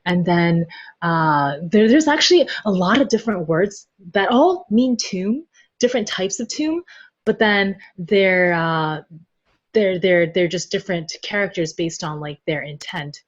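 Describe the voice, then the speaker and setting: A woman's voice: lowish voice, animated, a little slow. Playful woman guest on dull lo-fi audio. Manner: playful, giggly, thinking aloud. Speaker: podcast guest, translator. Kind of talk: casual interview chat.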